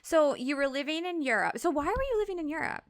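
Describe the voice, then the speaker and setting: A woman hosting a podcast interview, enthusiastic, with quick reactions to her guest. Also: high voice, animated. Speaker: podcast host. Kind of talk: casual interview chat.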